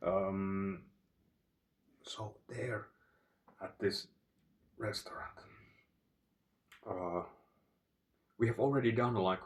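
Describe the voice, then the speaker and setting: Slow rambling Finnish-accented man, far from phone. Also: deep voice. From Finland. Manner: digressive, halting, many fillers and restarts. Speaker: channel host. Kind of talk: rambling storytelling monologue.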